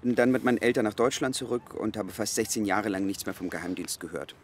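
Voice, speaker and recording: An actor playing an earnest secret agent in his thirties in a radio drama, explaining himself with measured insistence. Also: on the low side, a little hiss or hum, natural voice. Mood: earnest, confiding. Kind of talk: naturalistic radio drama acting.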